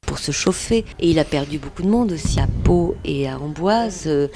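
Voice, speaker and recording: A woman's voice: sits low, normal speed, background noise. A woman author in a radio interview, earnestly retelling a historical story and quoting what people said. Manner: earnest, animated retelling with quoted speech.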